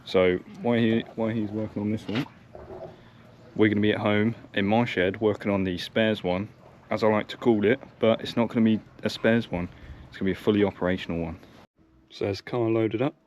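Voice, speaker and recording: Low-pitched, monotone young man. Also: a little fast, lo-fi sound, slight background noise. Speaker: vlogger. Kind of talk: casual narration while working on bikes. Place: car, sheds and yard while working.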